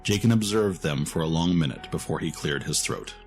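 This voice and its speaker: Deep-voiced male story narrator. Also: fairly quick, darkish tone, soft background music.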